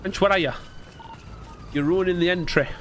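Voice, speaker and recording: A man playing an online roleplay game, bantering jokily and excitably, with plenty of swearing. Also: sits high, moderate pace, a little hiss or hum.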